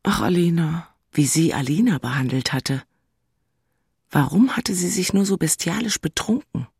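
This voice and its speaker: An actress playing a lovesick, volatile single woman, husky-voiced, in a literary audio drama. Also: sits low, lively intonation, normal speed, a little mellow, full character voice. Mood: regretful.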